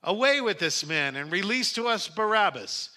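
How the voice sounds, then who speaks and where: A man voicing an angry mob in a Bible reading, vehemently shouting its demands. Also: a little high, lively intonation, unhurried, exaggerated voice. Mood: furious. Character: angry mob of male accusers demanding execution.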